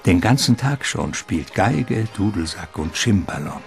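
A man narrating a literary radio drama in a measured, ornate storytelling manner. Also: fairly low pitch, animated, gravelly. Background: music.

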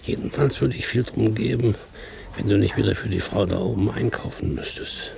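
An actor playing an old, worried and gentle farmhand father in a radio drama, muttering wearily and protectively. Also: fairly low pitch, huge pitch swings, moderate pace, gravelly, slight background noise, full character voice. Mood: hushed, confiding. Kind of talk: radio play acting.

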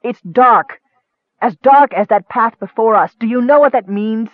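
A man's voice: sits high, quick pace, no echo, natural voice. A man voicing a devout, fearful and protective cleric in a fantasy audiobook, earnest and pleading. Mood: desperate. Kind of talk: audiobook character acting. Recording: muffled, dull lo-fi recording.

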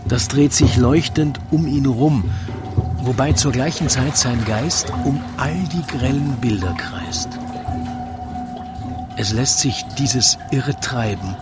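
A man narrating a radio play in rhymed verse, with measured, deliberate storytelling. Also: mid-range voice. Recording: loud music under the voice. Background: loud music.

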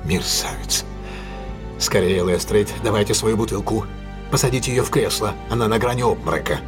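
An actor playing a self-assured gentleman detective in an audio drama, decisive, courteous and authoritative. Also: fairly low pitch, normal speed, lightly acted. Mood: urgent. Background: music.

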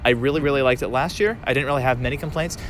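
A man's voice: medium pitch, rapid-fire, fairly bright tone, a little hiss or hum. A young man hosting casual, chatty on-the-spot interviews at a convention venue. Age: young adult. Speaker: podcast host interviewing convention attendees. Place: convention building after hours, handheld recording. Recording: music behind, busy public venue.

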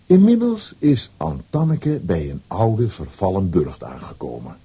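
A man narrating a children's radio play in a scripted storytelling style, with a dark, husky voice. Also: low-pitched, huge pitch swings, a little slow, exaggerated voice.

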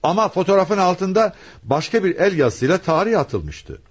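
A man's voice: sits high, very animated, normal speed, breathy edge, dull audio, full character voice. A man acting a commanding homicide commissioner in a radio play, with an emphatic, questioning, theatrical delivery. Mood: authoritative, revealing.

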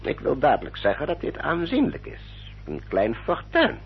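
An actor playing a courteous English family solicitor in a radio drama, speaking in a formal, measured, explanatory manner. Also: male voice, fairly high pitch, huge pitch swings, slightly rough, heavily acted. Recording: dull, muffled old radio recording.

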